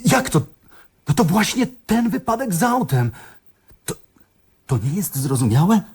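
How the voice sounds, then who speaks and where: Radio play casting: an absent-minded, theatrical poet, raised pitch, unhurried drawn-out pace, rambling and dreamy. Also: male voice, very animated, darkish tone, husky, heavily acted. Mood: puzzled. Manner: theatrical, rambling, head in the clouds. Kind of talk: radio drama acting.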